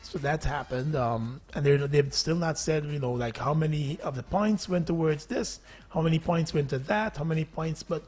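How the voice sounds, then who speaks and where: A Guyanese man hosting a casual morning radio show, chatty and quick to laugh, with rambling asides. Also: on the high side, a little mellow, faint music behind. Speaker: radio host.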